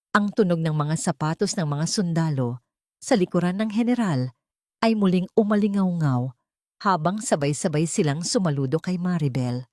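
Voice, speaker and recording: A woman's voice: fairly low pitch, mellow, dry recording, clean studio sound. A woman reading a scripted story as a dramatic narrator.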